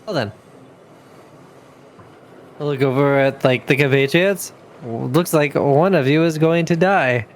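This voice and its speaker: Higher-voiced man role-playing at a tabletop. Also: unhurried, long pauses. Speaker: tabletop game player. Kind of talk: improvised role-play.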